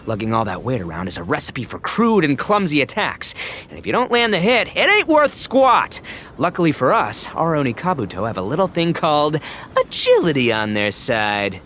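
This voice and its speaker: A man's voice: on the high side, sing-song, normal speed, fairly bright tone, a little hiss or hum. An actor playing a brash, big-hearted young male gang boss in an anime game, with swaggering, slangy bravado. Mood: excited commentary.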